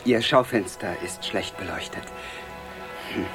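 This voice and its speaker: A man's voice: on the low side, a little slow, over music, close to an everyday voice. A man playing a timid, polite clerk in a radio drama, hesitant and deferential in his speech. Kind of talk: 1970s German radio drama acting.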